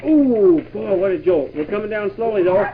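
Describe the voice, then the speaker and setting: A man playing an excitable astronaut commander in an improvised pretend radio play, exclaiming and giving urgent orders. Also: sits high, moderate pace, a crowd in the background, close to an everyday voice. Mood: excited. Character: excitable male astronaut commander leading a moon trip. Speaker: father playing with his children. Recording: muffled, very noisy 1960s home tape.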